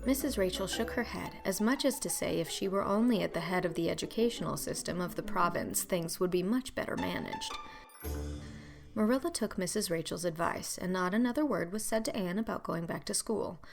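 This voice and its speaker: A woman's voice: fairly low pitch, a little fast, gravelly. A woman reading as the narrator of an audiobook, in scripted storytelling style. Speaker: narrator and producer. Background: music.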